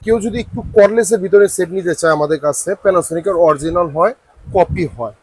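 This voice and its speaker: A man's voice: high voice, normal speed, slightly rough, no echo. A man with a Bangladeshi accent presenting products and prices informally as a shop salesman, with repetitive patter and some English words.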